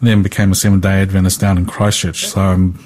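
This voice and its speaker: Man, New Zealand accent, husky, lowish voice, easygoing, lots of fillers, radio Bible study guest. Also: little pitch movement, fast, a little mellow.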